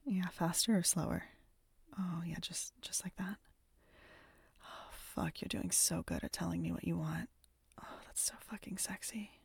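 Breathy whispering woman, close and dry. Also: lowish voice, steady tone, moderate pace, a little mellow, dry recording. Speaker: audio roleplay performer. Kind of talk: intimate whispered audio roleplay.

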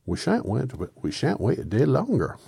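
A man's voice: medium pitch, huge pitch swings, moderate pace, creaky, mellow, breathy edge, no room sound, clean studio sound, pitched above the performer's own voice, exaggerated voice. A man voicing an earnest, aggrieved scarecrow from a storybook, insistent and plaintive, slipping into an Irish accent.

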